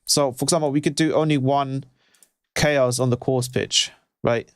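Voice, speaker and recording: A man's voice: mid-range voice, steady tone, normal speed, clear, resonant tone. A man who produces music, thinking aloud in a casual, hesitant way as he talks through a livestream tutorial. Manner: hesitant, musing while experimenting. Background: synthesizer music.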